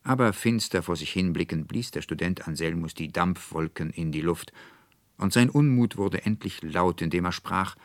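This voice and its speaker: A man narrating a literary audiobook as an expressive, measured storyteller, recorded in a studio. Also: low-pitched, dry recording. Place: dry studio.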